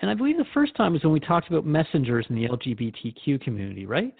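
A man's voice: on the high side, lively intonation, fast talker, creaky, husky, no room sound, phone-quality sound, heavily acted. A man playing a thoughtful, inquiring radio host, conversational and reflective as he interviews in a radio drama. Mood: curious, thoughtful. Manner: reflective, questioning.

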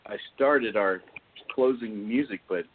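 A man hosting a podcast discussion, speaking haltingly and often repeating his words. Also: fairly low pitch, background noise. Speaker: podcast host. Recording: tinny phone-like call audio.

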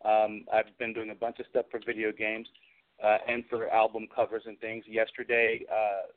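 A man's voice: fairly low pitch, completely flat delivery, normal speed, slight background noise. A man giving an informal, matter-of-fact update as a guest in a casual interview. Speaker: guest, costume and prop maker. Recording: dull lo-fi call audio.

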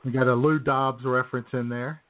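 A male radio co-host chats casually with a dry, joking manner. His pitch sits in the middle range, and the audio is narrow and phone-like. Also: steady tone, a little fast. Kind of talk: casual comedic banter.

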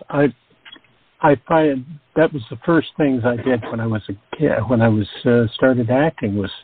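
A man with a husky, medium-pitched voice speaks reflectively in a casual panel discussion. The recording is narrow and phone-like. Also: dry recording. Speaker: cast member, actor. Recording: narrow phone-like call audio.

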